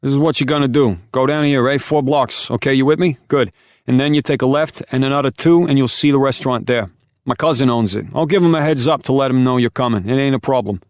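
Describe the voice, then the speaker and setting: A man's voice: medium pitch, fast, dry recording, clean studio sound, voice pushed up, close to an everyday voice. A man voicing a big, boisterous New York Italian man with a thick New York Italian accent and a brash, swearing way of talking. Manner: loud, brash, swears freely.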